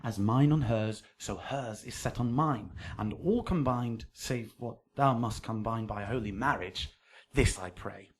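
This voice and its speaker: Male actor for romantic young lover, Shakespearean radio play; medium pitch, earnest and articulate. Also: measured pace, close to an everyday voice. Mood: earnest, ardent. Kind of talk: Shakespearean radio drama acting.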